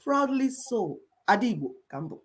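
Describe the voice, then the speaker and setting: A woman's voice: a little high, sing-song, moderate pace, breathy edge. A middle-aged Igbo woman with a Nigerian accent, giving impassioned commentary in an emphatic, repetitive, preaching cadence. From Igbo people of Nigeria.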